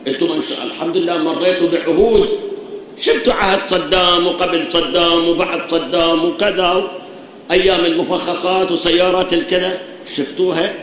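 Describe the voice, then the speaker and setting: A man with an Iraqi Arabic accent preaches earnestly, firing rhetorical questions at his listeners. His voice sits a little high and sounds tired. He is recorded in a hugely reverberant shrine hall with a faint hiss of noise underneath. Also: a bit bright. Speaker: cleric preaching. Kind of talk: sermon.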